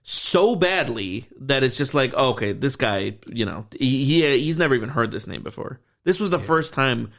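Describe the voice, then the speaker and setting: A man with an average-pitched voice talks casually as a podcast co-host. He is opinionated and emphatic, chatting about a film in loose, conversational phrases. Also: lively intonation, normal speed, creaky, hardly any pauses, a bit bright. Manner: informal, emphatic, often says "like" and "you know".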